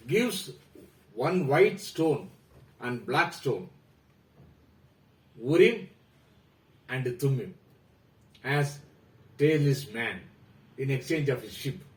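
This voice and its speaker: A man's voice: a little high, echoey room, recorded from a distance, low-quality recording. Indian man reading a literary talk. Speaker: book talk presenter.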